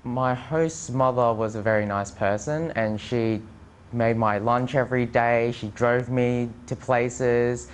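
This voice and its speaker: A man's voice: medium pitch, steady tone, takes it slowly, a little hiss or hum. A young adult man giving an unscripted testimonial as a former exchange student. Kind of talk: unscripted interview testimonial to camera. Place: indoor studio with plain backdrop.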